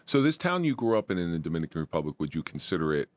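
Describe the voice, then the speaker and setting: A man's voice: deep voice, sing-song, very fast, no echo. A man hosting a podcast, chatty and opinionated, making jokey asides as he asks questions. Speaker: podcast host. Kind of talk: casual interview.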